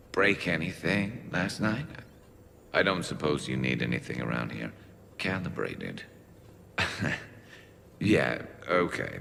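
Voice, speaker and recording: An actor playing a wry, awkward alien soldier in a video game, hesitant and self-mocking. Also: male voice, a bass voice, creaky, a bit bright, long reverb tail, slight background noise, heavily acted.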